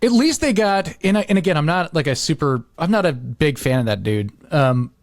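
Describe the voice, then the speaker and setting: A man's voice: on the high side, lively intonation, fairly quick, no echo, studio quality. A man talking casually and with opinions, in the manner of a podcast host.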